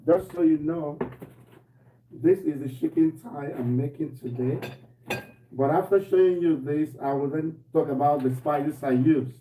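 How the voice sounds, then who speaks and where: Slightly hoarse man, mellow, off-mic kitchen. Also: on the high side, measured pace, far from the mic. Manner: relaxed, repeats himself, greets viewers by name. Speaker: cooking show host. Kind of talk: live-stream cooking demo, chatting to viewers. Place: home kitchen. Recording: echoey room, voice off-mic, faint noise.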